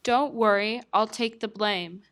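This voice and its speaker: A woman's voice: mid-range voice, a little slow, fairly bright tone. A woman playing a coaxing, flirty Ukrainian president in an amateur satire.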